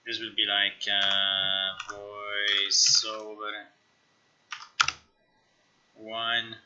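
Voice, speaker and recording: Sharp, bright-voiced man thinking aloud, slow-paced. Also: on the low side, monotone. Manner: halting, musing, talks to himself. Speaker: game developer live-streamer. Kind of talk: casual live screen-share commentary, thinking aloud. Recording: slightly dull, lo-fi stream audio.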